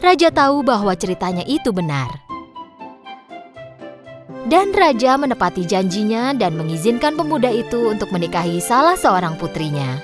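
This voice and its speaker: A woman narrating a children's fairy tale in a lilting, expressive storybook manner. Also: medium pitch, moderate pace. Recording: clear music bed underneath.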